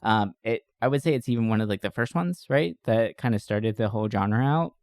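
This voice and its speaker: A man's voice: lowish voice, animated, brisk, a little mellow, dry recording, clean studio sound. A man co-hosting a podcast, chatting informally and enthusiastically in a casual, slangy review style. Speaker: podcast co-host. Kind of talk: casual movie review chat.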